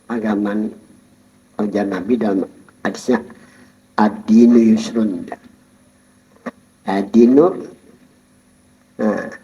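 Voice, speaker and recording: A man giving a Sufi study-circle lecture, explaining calmly and chuckling while he addresses his listeners. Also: fairly low pitch. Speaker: religious teacher. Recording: dull lo-fi recording.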